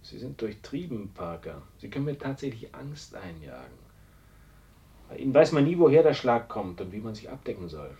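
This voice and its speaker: An actor playing a proud, ruthless industrialist with a commanding, defiant manner in a radio drama. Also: male voice, medium pitch, big pitch swings, moderate pace, mellow, highs cut off. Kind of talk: 1970s German radio drama acting.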